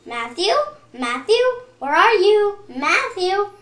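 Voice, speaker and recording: Woman as a concerned, high-voiced mother. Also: big pitch swings, slow, a bit bright, heavily acted. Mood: worried. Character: concerned mother, gently firm with her family. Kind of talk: readers theater storytelling. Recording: echoey room.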